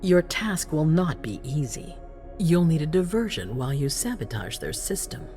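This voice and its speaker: A commanding female vampire leader in a dramatic video game. Her voice sits low but moves widely in pitch. She is cold, ruthless and imperious, with music playing underneath. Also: big pitch swings, moderate pace, dark tone. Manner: imperious, unsentimental, authoritative.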